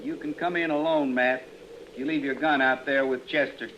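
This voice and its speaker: A man's voice: medium pitch, fairly even intonation, normal speed, a little hiss or hum, natural voice. A man playing a proud, desperate young frontier husband with a rural American accent, guarded and plain-spoken in a 1950s radio western. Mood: wary, threatening. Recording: muffled old radio recording.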